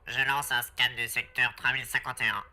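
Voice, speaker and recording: Businesslike starship operator, acted by a man, medium pitch. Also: fairly even intonation, brisk, pushed and bright. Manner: procedural, matter-of-fact. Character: businesslike spaceship scanner operator. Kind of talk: fan-made French anime audio acting.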